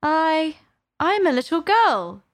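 A woman's voice: sits high, very animated, slow, fairly bright tone, pitched above the performer's own voice, exaggerated voice. A woman voicing an earnest, polite little girl with a questioning manner in a storybook. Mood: doubtful, earnest. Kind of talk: storybook character voice.

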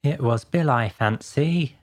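A woman's voice: very deep voice, slow pace, a little mellow, pitched below the performer's own voice, heavily acted. A woman voicing a blunt, bossy male workman among a crew of storybook creatures. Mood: bossy, busy.

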